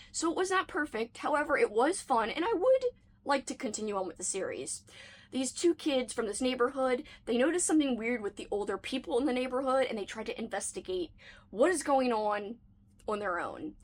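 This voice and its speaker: A woman's voice: on the high side, big pitch swings, brisk. A woman chatting casually about books she read, enthusiastic and playful, her voice slightly worn. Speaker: book reviewer. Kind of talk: casual reading wrap-up chat.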